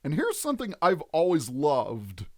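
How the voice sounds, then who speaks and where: A gaming video host giving chatty, expressive let's play commentary and explaining things clearly as they go. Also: medium pitch, moderate pace. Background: game music.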